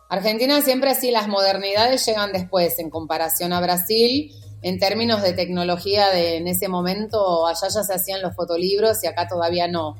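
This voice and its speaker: A middle-aged woman from Rio de Janeiro with a Buenos Aires accent, telling personal stories as an animated podcast guest. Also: medium pitch. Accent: Buenos Aires porteño Spanish. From Rio de Janeiro, Brazil. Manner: animated storyteller, acts out quoted conversations. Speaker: podcast guest, wedding photographer. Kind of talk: casual interview storytelling.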